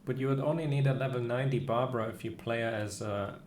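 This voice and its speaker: A man livestreaming a game, chatting casually about strategy in a hesitant, halting way as he thinks aloud. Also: medium pitch, darkish tone, a little hiss or hum.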